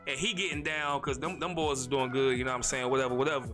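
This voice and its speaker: A man from North Carolina, hosting a casual solo podcast in a rambling, slangy way, talking fast with a stutter. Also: medium pitch, little pitch movement, over music. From North Carolina, USA. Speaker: podcast host.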